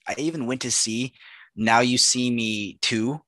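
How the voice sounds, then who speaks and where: A man's voice: mid-range voice, a little slow, pushed and bright, no room sound. A young man co-hosting a podcast, telling rambling jokey stories in casual chat with lots of fillers. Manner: rambling storyteller, joking, with frequent fillers. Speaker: podcast co-host.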